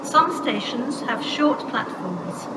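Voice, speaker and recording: A woman's voice: on the high side, lively intonation, takes it slowly. A British woman making formal, automated railway announcements in pieced-together scripted phrases over a station public address. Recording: echoey noisy station speakers from far off.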